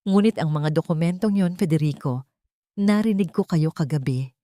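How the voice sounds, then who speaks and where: A woman's voice: low-pitched, normal speed, dark tone, no room sound, clean studio sound, close to an everyday voice. A woman voicing a frail, pleading elderly mother, anxious and bitter, with a weak, cracking voice in an audio drama. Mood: anxious protest.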